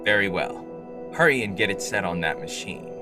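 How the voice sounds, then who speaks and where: Man acting a low-voiced, commanding masked vigilante. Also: animated, over music, close to an everyday voice. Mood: brisk, secretive. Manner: curt, measured, menacing. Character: cool, commanding masked male vigilante hero. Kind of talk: old-time radio acting.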